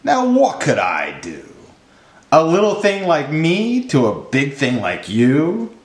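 A small, cheeky storybook mouse, acted out by a man, talks with a lively, swooping pitch. The delivery is unhurried and teasing, and the recording has a clear room echo. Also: exaggerated voice. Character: little gray mouse, male, cheeky prankster. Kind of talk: rhyming children's storybook character. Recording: roomy, echoing sound.

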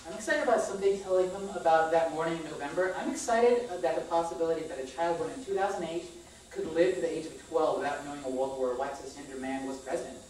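A woman's voice: sits low, quick pace, a little mellow. Candid woman speaking in a very echoey theater. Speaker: spoken-word storyteller on stage. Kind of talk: personal monologue read aloud to an audience. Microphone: far camera microphone. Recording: echoey theater, distant mic, faint noise.

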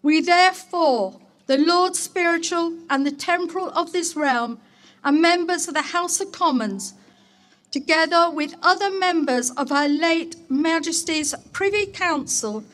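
Woman, high voice, slow delivery, solemn ceremonial address, declamatory mayor, outdoors. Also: a bit bright. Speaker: mayor reading a proclamation. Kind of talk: formal ceremonial public address. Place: outdoor market place ceremony.

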